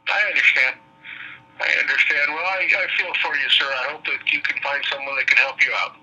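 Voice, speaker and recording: A man's voice: on the high side, fairly even intonation, fairly quick, pushed and bright, breathy edge. A man working as a funeral director, answering a phone call politely but firmly, calling the caller sir. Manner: polite, patient, firm, says sir often. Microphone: telephone line. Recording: dull phone line with faint hiss.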